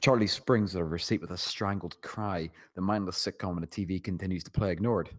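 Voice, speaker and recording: A man's voice: low voice, steady tone, fairly quick. A man narrating scene directions from a screenplay at a table read. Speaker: narrator reading stage directions.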